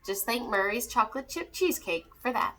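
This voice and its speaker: A woman reads a rhyming children's story aloud with a high, bright voice. Her delivery is theatrical and swings widely in pitch, as a read-aloud for young listeners. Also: fairly quick, a little hiss or hum. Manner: animated, theatrical. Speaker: teacher reading a picture book aloud to children.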